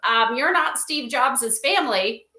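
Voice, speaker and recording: A woman's voice: high voice, expressive, a little slow, pushed and bright. A woman explaining conversationally as an interview guest, acting out quoted lines as she goes. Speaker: podcast guest, company advisor. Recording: roomy, echoey sound.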